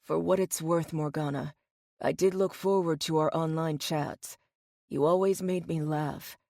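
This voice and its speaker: Lady doing a calm rich guy in a romance novel, lowered voice, bit teasing. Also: a little slow, creaky, no room sound, studio quality. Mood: gently teasing. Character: composed, teasing, affluent man.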